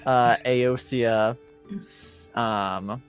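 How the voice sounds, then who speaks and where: A man narrating a tabletop roleplaying game as game master in an unscripted recap, pausing and searching for words. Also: mid-range voice, fairly even intonation. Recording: clear music under the voice. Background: background music.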